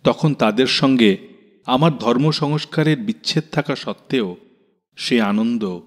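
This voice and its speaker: A man hosting a radio show, reading a scripted narration with literary passages in a measured, expressive manner. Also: medium pitch. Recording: long reverb, faint music behind. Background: soft music.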